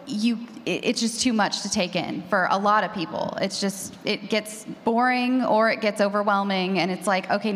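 A woman's voice: mid-range voice, a bit bright. A woman talking casually and agreeably on a conference panel, sharing anecdotes from her own work. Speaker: panelist, fiction podcaster. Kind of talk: informal conference panel talk. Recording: reverberant hall.

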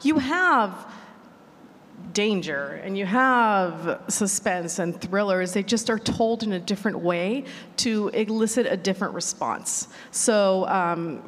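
A woman's voice: mid-range voice, slow pace. A woman explaining her ideas casually as a writer on a panel. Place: large reverberant hall at a live panel. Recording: echoey hall reverb.

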